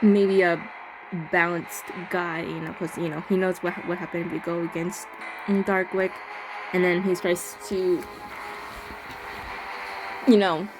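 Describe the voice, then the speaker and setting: A woman's voice: fairly low pitch, flat delivery, measured pace, long pauses, low-quality recording. A woman hosting a game playthrough, reading narration aloud and adding casual commentary. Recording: voice over loud music. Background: game music.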